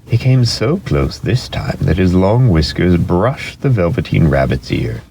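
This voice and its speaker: A man giving a dramatic storybook narration in an expressive, deliberate way. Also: sits low, vocal fry, a little hiss or hum.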